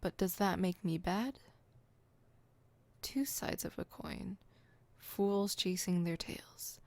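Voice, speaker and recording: A woman's voice: fairly low pitch, takes it slowly, no room sound. A woman playing a theatrical, poetic supervillain in an ASMR roleplay, haughty and dramatic, stammering when flustered. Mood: solemn poetic.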